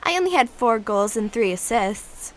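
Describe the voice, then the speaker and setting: A woman's voice: a little high, expressive, moderate pace, natural voice. A young female playing a sporty, sarcastic schoolgirl in an amateur radio drama. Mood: sassy. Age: child or teenager. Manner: sassy, sarcastic.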